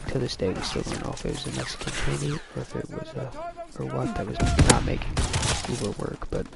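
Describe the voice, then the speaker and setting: A young adult man telling a personal story casually, in a rambling, halting way. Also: mid-range voice, huge pitch swings, vocal fry, very noisy.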